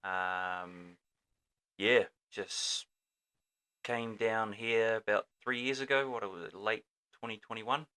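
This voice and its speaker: An easygoing New Zealand man is a guest on a relaxed podcast in a small studio. His voice is fairly low but bright and forward, and he talks in a chatty way, full of fillers. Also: slow pace, clear, resonant tone. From Auckland, New Zealand. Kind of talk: casual chat interview.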